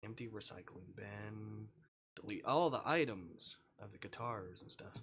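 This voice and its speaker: A man's voice: fairly low pitch, measured pace, fairly bright tone. A man chatting casually as a live streamer, muttering and swearing as he goes. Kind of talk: casual livestream chat, reading a visual novel aloud.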